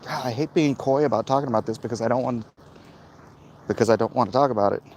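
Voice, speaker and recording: A middle-aged man talking casually and haltingly to his viewers in a monologue recorded while out walking. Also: mid-range voice, very noisy. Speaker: comic book creator vlogging. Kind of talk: casual walk-and-talk monologue. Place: outdoors, walking along a hilly route.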